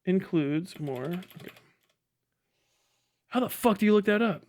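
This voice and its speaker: A man's voice: high-pitched, lively intonation, measured pace, a little mellow. A man doing casual livestream commentary, swearing and muttering to himself at a close mic. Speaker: livestream host reacting to a video.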